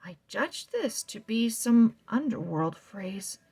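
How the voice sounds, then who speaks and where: A woman reading a children's novel aloud, slow and expressive, sometimes dropping to a soft whisper. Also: fairly high pitch, creaky, slightly rough. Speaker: read-aloud narrator.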